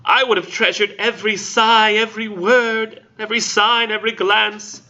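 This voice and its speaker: A man reading aloud and voicing a grieving, devoted young woman in a pleading high voice. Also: level tone, moderate pace, pushed and bright, dull audio, full character voice. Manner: breathless, pleading, high falsetto.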